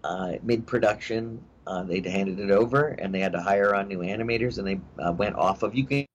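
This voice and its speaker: A middle-aged actor talking in a casual interview, rambling with many fillers and restarts. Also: male voice, lowish voice, fairly quick. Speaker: actor guest. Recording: dull lo-fi audio with faint hiss.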